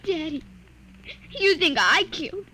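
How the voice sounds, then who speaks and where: Very high-voiced pleading little girl. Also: expressive, a little slow, sharp tone, a little hiss or hum, full character voice, poor audio. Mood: distressed, pleading. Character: upset nine-year-old girl, pleading and defensive. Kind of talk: 1950s radio drama acting. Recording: old radio recording with music.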